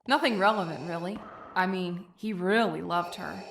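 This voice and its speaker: Woman as a brisk, sardonic rogue agent. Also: lowish voice, gravelly, very noisy. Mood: businesslike. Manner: brisk, businesslike, casual. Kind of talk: dramatic comic dub acting.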